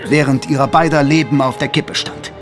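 A man's voice: medium pitch, steady tone, brisk. A man narrating an audio drama in a dramatic, scripted storytelling style. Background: dramatic music.

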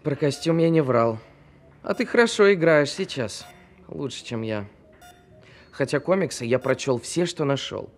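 An actor playing a kindly, earnest young amateur entertainer in costume in an audio drama. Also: male voice, medium pitch, lively intonation, normal speed. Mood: concerned, candid.

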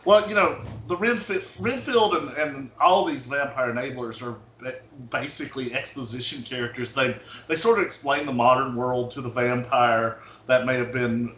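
A man's voice: mid-range voice, normal speed, a bit bright, a little hiss or hum. A man on a panel speaking from a home room through a headset, in a thoughtful, explanatory way. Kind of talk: casual panel discussion. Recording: roomy echo on video call.

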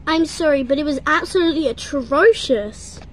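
A woman voicing blunt talent show judges, speaking a little lower than her own voice, frank and dismissive. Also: moderate pace, a little hiss or hum, natural voice. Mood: scathing.

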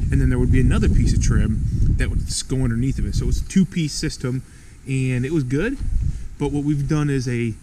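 A man's voice: medium pitch, background noise. A man filming himself on a snowy outdoor building site with a head-mounted camera, explaining his work in a plain, practical way. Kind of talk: casual how-to walkthrough. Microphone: head-mounted action camera.

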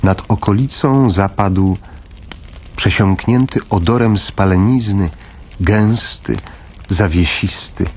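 A man narrating a literary audio drama from a script, with a husky voice. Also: fairly low pitch, slow pace, a little mellow, slight background noise.

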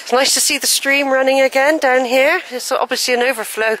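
An older woman rambles cheerfully as she walks a wooded track, talking into a handheld camera. Her voice is bright and a little wheezy, and a faint hum of the outdoors sits behind it. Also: sits high, fairly even intonation, brisk, low-quality recording. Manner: chatty, rambling, thinking aloud. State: a bit wheezy. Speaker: walking vlogger. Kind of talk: casual walking commentary. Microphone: handheld camera while walking.

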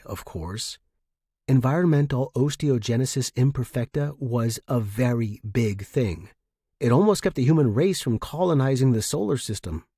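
A man with a husky voice reading first-person short fiction as an expressive narrator. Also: mid-range voice, no echo, studio quality.

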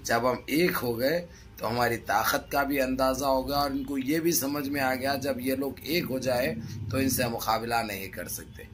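A middle-aged man with a hoarse throat gives impassioned political commentary to his viewers in emphatic, repetitive, rhetorical phrases. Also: mid-range voice, fairly even intonation, normal speed, a bit bright. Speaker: news commentary vlogger. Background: background music.

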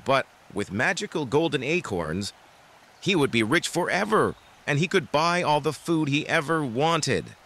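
A man's voice: fairly high pitch, animated, a little slow, fairly bright tone, exaggerated voice. An actor playing an earnest, inquisitive father in an audio drama.

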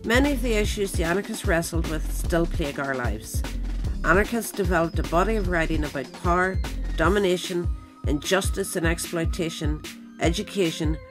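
Male narrator, slightly high voice, formal and measured delivery for a written essay. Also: music in the background. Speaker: reader.

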